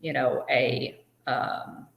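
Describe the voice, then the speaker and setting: A woman with a low, deep voice hosts a casual live stream. She talks chattily, greets viewers by name and pads her sentences with fillers. The sound rings a little in a roomy space. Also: fairly even intonation, drawn-out words, vocal fry. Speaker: co-host of a live fan show.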